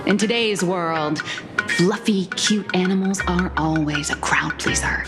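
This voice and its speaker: A woman playing an earnest, wry comic artist in an anime-style video game, explaining things in a measured, slightly theatrical way. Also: lowish voice, lightly acted. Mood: proud, presenting. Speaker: comic artist presenting her work. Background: music.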